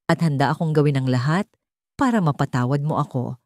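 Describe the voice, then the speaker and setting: A woman voicing a remorseful young man who pleads his love earnestly and haltingly in a romance story. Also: low-pitched, darkish tone, dry recording, clean studio sound, lightly acted. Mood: determined.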